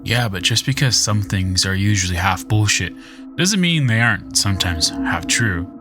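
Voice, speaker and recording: A man's voice: lowish voice, expressive, moderate pace, close to an everyday voice. A man playing an earnest, muddled amateur philosopher in a radio drama. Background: clear music.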